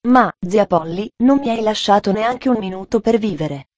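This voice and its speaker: A woman's voice: medium pitch, normal speed, darkish tone, highs cut off, natural voice. A woman voicing a cheerful, talkative young girl who speaks in an eager, earnest way, in a storybook reading. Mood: pleading.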